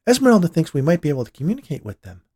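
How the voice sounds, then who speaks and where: A man's voice: a little high, huge pitch swings, very fast, dark tone, husky, no room sound, clean studio sound, voice pushed up, full character voice. A man voicing an earnest, credulous, matter-of-fact wife who tends to scold, in a storybook reading.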